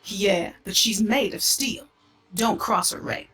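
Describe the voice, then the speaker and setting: An actress playing a teasing, authoritative police colleague in a video game. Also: female voice, medium pitch, slow, pushed and bright, distant mic, faint music behind. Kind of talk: video game dialogue acting.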